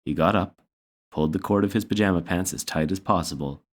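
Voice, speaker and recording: Low-voiced man reading a story. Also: a little fast. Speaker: story reader. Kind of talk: read-aloud children's story narration.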